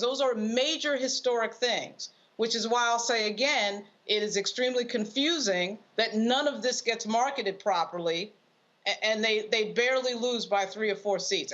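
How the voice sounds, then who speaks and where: A woman's voice: on the high side, a bit bright, lo-fi sound. A woman giving unscripted political commentary on a panel, speaking emphatically and repeating phrases for stress.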